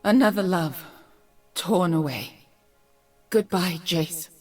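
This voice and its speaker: Video game noblewoman mage: haughty, composed, formal, lower female register, wide expressive range, music underneath. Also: slow pace. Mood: somber. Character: regal, composed woman mage-politician from a noble warrior family. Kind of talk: scripted video game voice lines.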